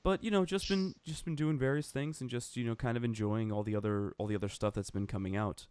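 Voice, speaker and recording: A man's voice: medium pitch, quick pace, darkish tone, smooth, no room sound. A man co-hosting a casual podcast chat, eager and full of fillers. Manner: enthusiastic, filler-heavy. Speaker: podcast co-host.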